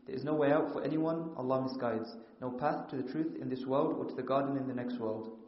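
A man's voice: medium pitch, a little fast. A man who chants verses in melodic recitation and then explains them in English as a teacher. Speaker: Quran teacher. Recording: echoey, dull, reverberant room.

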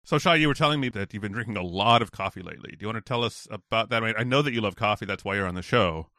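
A man's voice: on the low side, rapid-fire, bright, forceful tone, faint music behind. An American man co-hosting a casual podcast, talking in a joking way. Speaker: podcast co-host.

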